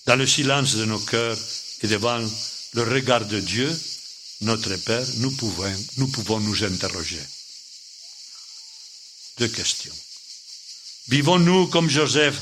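An older man preaches at a very slow pace, pausing between short phrases in the French he learned as a second language. His voice sits fairly low. A steady hiss of noise and chirping insects runs under the recording. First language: not French. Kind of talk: sermon.

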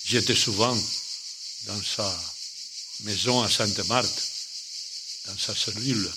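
An older man, not a native French speaker, preaching a sermon slowly in short, halting phrases. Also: fairly low pitch, very noisy.

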